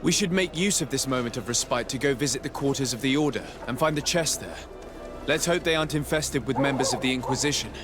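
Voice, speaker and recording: A man's voice: mid-range voice, fast talker, music in the background, close to an everyday voice. An actor playing a composed young nobleman and diplomat in a video game, formal, self-assured and politely defiant. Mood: composed, purposeful.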